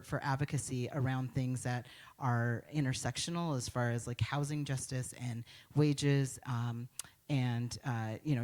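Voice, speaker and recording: A man's voice: mid-range voice, a little mellow. A man speaking as a panelist at a conference, thoughtful and hesitant, with many fillers.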